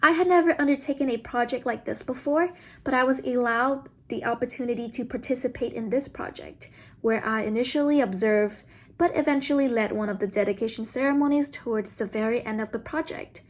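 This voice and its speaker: High-voiced young woman giving a speech. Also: fairly quick. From Vietnam. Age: around 20. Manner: earnest, formal. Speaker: student speaker. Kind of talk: prepared speech.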